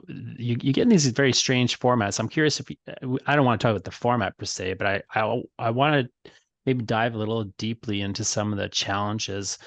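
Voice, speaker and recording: A man hosting a casual interview, talking in a halting way and circling around his questions. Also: on the low side, no echo. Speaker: podcast host, interviewer.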